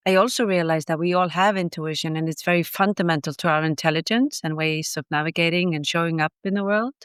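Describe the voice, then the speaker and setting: Icelandic woman, english not her first language, smooth clear voice, dry close sound, talks it through slow and thoughtful. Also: fairly low pitch, dry recording. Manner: reflective, thoughtful explaining. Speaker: author and guest. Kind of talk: podcast interview.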